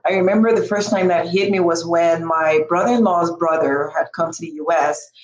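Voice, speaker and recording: A woman's voice: on the low side, normal speed, reverberant, rough recording. A middle-aged woman from Cameroon, telling personal stories expressively in a group discussion. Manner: expressive, acts out quoted speech.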